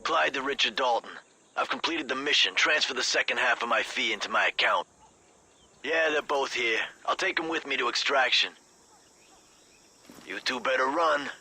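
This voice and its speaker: A man's voice: medium pitch, normal speed, long pauses, husky, heavily acted. A man playing an abrasive, bossy mercenary who sneers and barks orders in a video game cutscene. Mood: cold, curt. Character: abrasive, bossy male mercenary operative in combat. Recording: loud music behind.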